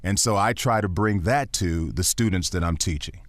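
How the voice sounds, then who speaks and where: A man with a baritone voice, a voiceover actor and teacher, talking as a podcast guest in an earnest, encouraging, emphatic way. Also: normal speed, no room sound.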